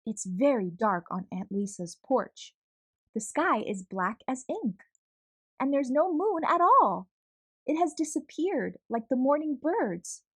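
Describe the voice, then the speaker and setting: Animated woman reading a picture book. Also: sits high, slow, breathy edge. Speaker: children's book reader. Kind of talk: read-aloud storytelling.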